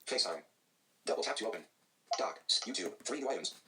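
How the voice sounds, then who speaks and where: A male screen reader voice rattles off screen items in flat, synthetic announcements. It speaks very fast, and steady background noise runs under the recording. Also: on the low side.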